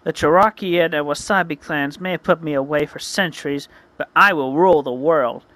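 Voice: mid-range voice, a little fast, fairly bright tone, no echo, close to an everyday voice. A storyteller voicing a boastful ancient evil spirit count bent on conquest in a fantasy tale. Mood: gloating.

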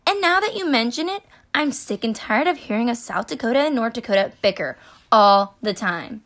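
A kind, eager best-friend state from a storybook acted out by a woman. The voice is high and very animated, full of exclamation. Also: moderate pace. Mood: excited.

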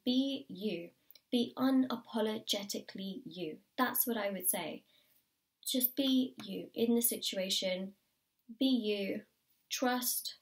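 A woman giving a tarot reading to the viewer, her voice slightly croaky, encouraging and repeating key phrases. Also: medium pitch, slow, recorded from a distance. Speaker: tarot reader. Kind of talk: pick-a-card tarot reading to camera. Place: indoors at a table.

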